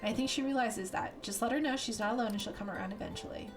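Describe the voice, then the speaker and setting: A caring, smooth-talking male rogue who speaks in a reassuring way, read out by a woman. Her voice sits a little high, and game music plays clearly behind it. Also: quick pace, natural voice.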